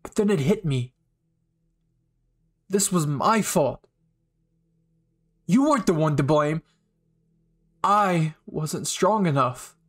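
A man acts out an unhinged young male anime villain. He is bitter and sure of his righteous cause. He speaks very slowly, with menacing, taunting pauses, in a slightly high voice. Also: expressive. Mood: brooding, righteous. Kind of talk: anime fan ASMR roleplay acting.

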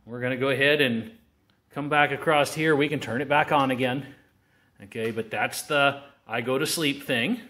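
A man's voice: medium pitch, fairly even intonation, normal speed, bright, forceful tone. A man at a home table filming a casual how-to on his phone, talking in a folksy, rambling way with jokey asides. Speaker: hobbyist showing a laptop repair. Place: home dining room at a table.

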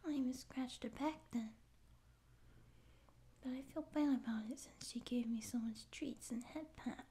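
A young woman acting a naive, pouty stray catgirl in a soft, halting ASMR roleplay voice. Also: fairly high pitch, lightly acted. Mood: plaintive, wistful. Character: naive, tearful stray catgirl would-be space pirate. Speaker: ASMR voice actor. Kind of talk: ASMR roleplay audio drama.

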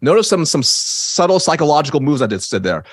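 Fast-talking man who stutters at times. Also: fairly high pitch, no room sound, clean studio sound. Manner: energetic, emphatic, gestures while talking. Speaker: sales trainer. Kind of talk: webinar teaching. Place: home office with bookshelves. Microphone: studio mic on boom arm, video call.

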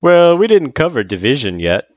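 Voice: mid-range voice, sing-song, moderate pace, no echo, muffled recording, voice pushed up, lightly acted. A storyteller voicing a laid-back, kindly male figure who tutors in a casual, slangy and patient way. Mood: apologetic, easygoing.

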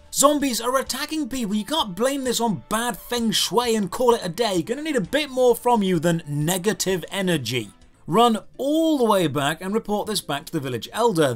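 A man narrating a scripted comedic game review with a sarcastic, exasperated manner. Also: high voice.

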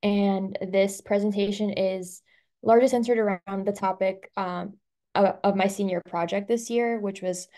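Mellow-voiced young woman presenting casually. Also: mid-range voice, fairly even intonation, normal speed. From Albania. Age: young adult. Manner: casual, with frequent "um" and "like" fillers. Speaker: student presenter. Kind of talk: webinar presentation. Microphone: video call.

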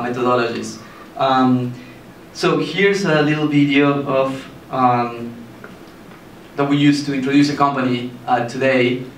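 Guy from argentina pitching his startup, lots of ums and restarts, roomy sound, a bit of hiss. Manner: halting, many fillers and restarts. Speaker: startup founder giving a talk. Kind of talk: presentation to an audience. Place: meeting room with a projector screen, indoors. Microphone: handheld mic, filmed by a far camera. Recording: echoey room, mic far off, faint hiss.

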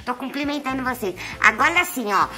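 Brazilian lady doing a coy cuddly male cat voice, real high, music playing under it. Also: lively intonation, fairly quick, bright, forceful tone, exaggerated voice. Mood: affectionate. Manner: sweet, coy, chatty to viewers. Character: chatty, nervous, affectionate young male house cat. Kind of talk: pet vlog cat voice-over.

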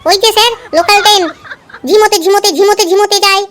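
A woman's voice: very high voice, steady tone, rapid-fire, fairly bright tone, a little hiss or hum. A woman voicing a cheeky young pupil in a comedy cartoon, chattering glibly.

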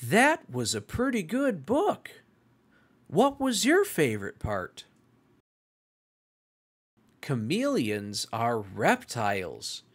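Casting: children's read-along host, extremely slow and deliberate, with big swooping, exclamatory intonation. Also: mid-range voice, wildly swooping pitch, breathy edge. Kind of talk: slow animated read-aloud for young children.

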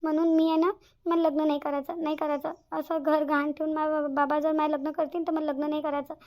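A woman's voice: sits high, level tone, a little fast, highs cut off, natural voice. A woman with a Varhadi Marathi accent voicing a selfless, earnest young bride-to-be in a cartoon family drama. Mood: distressed, earnest. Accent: Varhadi Marathi from Vidarbha. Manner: earnest, repeats phrases emphatically. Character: anxious, selfless young bride-to-be, tenderly devoted.

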